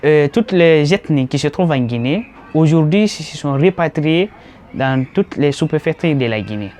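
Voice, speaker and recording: A man's voice: on the high side, lively intonation, moderate pace, a little mellow, faint music behind. A man from Guinea with a Guinean French accent answering a street interview, explaining and repeating himself.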